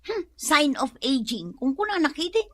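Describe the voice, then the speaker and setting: A woman playing a sharp-tongued unmarried woman. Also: high voice, sing-song, normal speed. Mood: complaining. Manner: sharp, exasperated retorts. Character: unmarried middle-aged woman, touchy about age. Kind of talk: Ilocano radio drama comedy acting.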